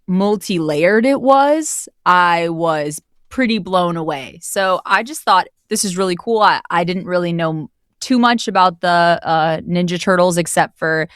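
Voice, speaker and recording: A woman's voice: lowish voice, measured pace, clear, resonant tone, no echo, studio quality. A woman speaking casually and effusively as a guest in an interview. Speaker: guest, voice actor who narrated the project.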